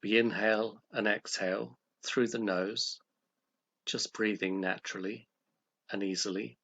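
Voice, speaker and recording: A man leading a guided meditation, speaking in a calm, gentle, measured way with pauses between phrases. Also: lowish voice, creaky, breathy edge.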